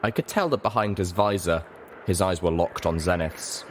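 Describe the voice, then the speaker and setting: A man's voice: deep voice, normal speed. A man reading a story aloud in dramatic, scripted first-person narration. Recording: faint background noise.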